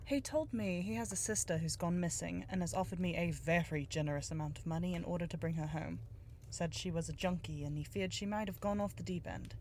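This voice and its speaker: An actress playing a determined, businesslike younger private detective in a noir audio drama, cool, persuasive and pointed. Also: female voice, on the low side, a little fast, a little mellow, a little hiss or hum, close to an everyday voice. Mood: serious.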